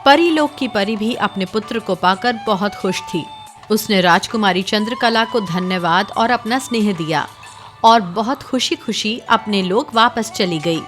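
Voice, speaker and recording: A woman narrating a cartoon fairy tale in a steady, dramatic storytelling way. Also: medium pitch, measured pace, faint music behind.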